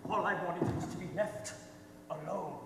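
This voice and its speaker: A man's voice: high-pitched, steady tone, moderate pace, creaky. Young man acting a distant, wounded outcast boy. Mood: hurt. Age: young adult. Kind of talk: high school stage play acting. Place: theater stage, filmed from the audience. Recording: distant, noisy, echoey theater stage.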